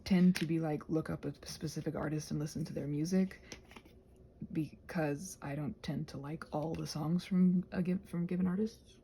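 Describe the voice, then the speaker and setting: A soft-spoken woman in a casual, rambling chat while she crafts, meandering and interrupting herself as she murmurs along. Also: low voice, fairly even intonation, moderate pace, creaky, a little hiss or hum. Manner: meandering, digressive, self-interrupting. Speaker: craft vlogger and audio creator.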